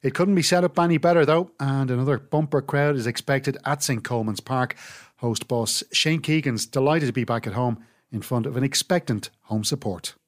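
A man's voice: medium pitch, moderate pace. A man with an Irish accent hosting a football podcast, reading a scripted round-up of fixtures and results. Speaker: podcast host. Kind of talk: scripted sports round-up narration.

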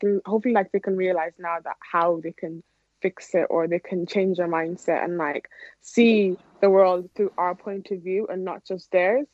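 A woman's voice: on the low side, a little mellow, dry recording. Young adult Irish woman, informal interviewee, casual speech full of "like", dry and slightly muffled lo-fi sound. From Ireland. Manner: informal, frequent "like". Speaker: interview guest, athlete. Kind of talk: casual conversational interview answers. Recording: dull, lo-fi audio.

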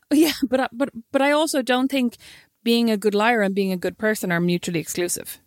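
A woman co-hosting a casual chat with her sister, telling stories quickly and teasing. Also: a little high, steady tone, no echo, studio quality. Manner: teasing, rapid storytelling. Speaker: podcast co-host.

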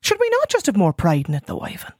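A chatty Irish woman hosting a radio phone-in, asking probing questions in a friendly way. Her pitch moves in a lively way. The sound is dry and close on a studio mic. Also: fast talker, no echo. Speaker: radio show host.

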